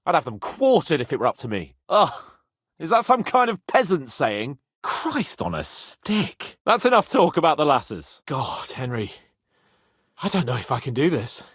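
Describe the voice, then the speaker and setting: A young medieval lord from a video game, swaggering and quick to temper, yet a loyal friend. His voice sits fairly high and swings freely up and down, with a slightly husky edge. He teases and boasts as he goes. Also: huge pitch swings, fairly bright tone, dry recording, clean studio sound, full character voice. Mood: indignant, exasperated. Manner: swaggering, teasing, colourful swearing. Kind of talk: video game character acting.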